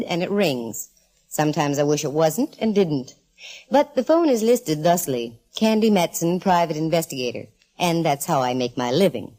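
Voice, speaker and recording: A woman's voice: deep voice, warm tone. An actress playing a breezy, sardonic, confident female private eye with an American accent, in 1940s radio detective style. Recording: old 1940s radio recording, faint music.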